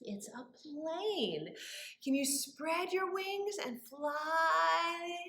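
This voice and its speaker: A woman with a high voice speaks slowly to young children in an echoey room. She leads story time in a sing-song, encouraging manner, full of exaggerated wonder and simple questions. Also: lively intonation, bright, forceful tone.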